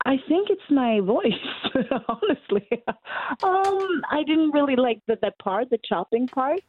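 A woman in her twenties with a Norwegian accent, a musician answering interview questions in English, often pausing to hunt for words. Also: high voice, lively intonation, slight background noise. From Norway. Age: 20s. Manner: hesitant, searching for English words. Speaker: musician guest. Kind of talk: casual interview over a call. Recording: tinny phone-like line.

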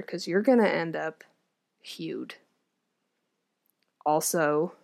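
A woman actor and comedian reads an old fairy tale and keeps stopping to make amused, wry comments. Her voice sits in a middle pitch, and her tone is chatty and casual between the storybook passages. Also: warm tone. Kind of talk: storytelling reading with joking asides.

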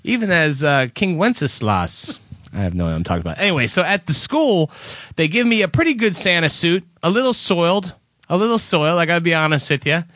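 This is a middle-aged man with a nasal, slightly whiny voice, chatting as a show co-host. His pitch swings up and down a lot as he rambles, laughing at his own story. The recording is close and dry, with no room sound. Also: sing-song, fast talker, fairly bright tone, no room sound. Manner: rambling, self-deprecating, laughs often. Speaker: podcast co-host. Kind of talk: casual chat and storytelling.

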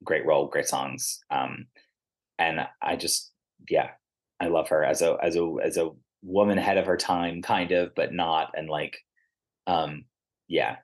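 A man co-hosting a podcast, gushing in an animated way and often trailing off mid-thought. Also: extremely low pitch, unhurried. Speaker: podcast co-host. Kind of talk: casual chat about a musical.

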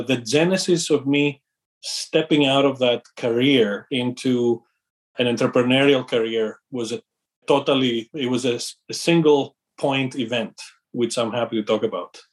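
A middle-aged man from Greece, a company founder being interviewed, speaking thoughtfully with pauses and restarts. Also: medium pitch. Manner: thoughtful, measured, with fillers and restarts. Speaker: company founder and CEO, guest. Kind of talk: interview conversation.

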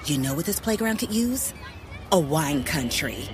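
A woman's voice: deep voice, big pitch swings, unhurried, music in the background. A woman performing a playful scripted commercial. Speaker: commercial actor and announcer.

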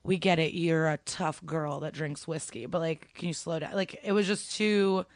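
A woman's voice: sits low, steady tone, normal speed, no echo, clean studio sound. A young woman from Ukraine, a stand-up comedian, chatting casually as a podcast guest and telling stories with many fillers.

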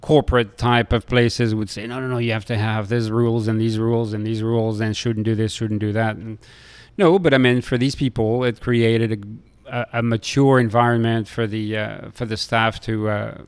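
A middle-aged man from France with a French accent, telling stories in an interview in a halting way, often hesitating and repeating words. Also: lowish voice, level tone, clear, resonant tone. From Lille, France. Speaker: restaurateur being interviewed. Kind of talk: conversational interview storytelling.

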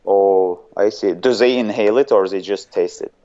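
A man's voice: lowish voice, normal speed, warm tone, highs cut off. A man from Azerbaijan with an Azerbaijani accent, a guest on an interview show who talks hesitantly and often searches for words. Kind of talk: casual interview chat.

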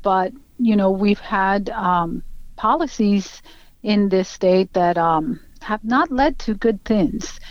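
A middle-aged woman with a Venezuelan Spanish accent gives an earnest personal account as an interview guest. She talks at a middle pitch, leans on fillers and acts out what others said. The recording is dull and lo-fi, with a dry sound and no room echo. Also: slow. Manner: earnest, many fillers, acts out quoted speech.

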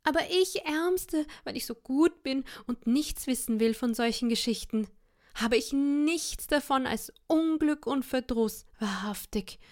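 A woman voicing a poor young wife who scolds and laments in a high, shrill voice, read as part of an audiobook. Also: lively intonation, measured pace. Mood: wailing. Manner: shrill, indignant, self-pitying laments. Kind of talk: audiobook reading of a classic tale.

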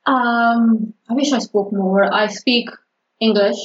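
A woman from Pakistan whose first language is Urdu, chatting casually as a podcast guest and pausing often with fillers and restarts. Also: fairly high pitch, steady tone, a little hiss or hum. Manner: hesitant, many fillers and restarts. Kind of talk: casual conversation. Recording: dull lo-fi podcast audio.

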